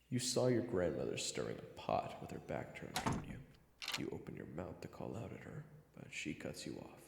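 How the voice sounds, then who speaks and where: A man with a gravelly voice reading scripted second-person fantasy story narration. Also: rumbling low, roomy sound, long reverb tail, slight background noise.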